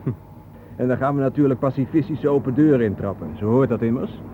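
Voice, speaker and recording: A weary, troubled family man in an old radio play, performed by a male actor. His mid-pitched voice is uneasy and plainspoken. The sound is thin and phone-like, with a faint layer of noise. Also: quick pace, close to an everyday voice. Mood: wry unease. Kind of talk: radio drama acting.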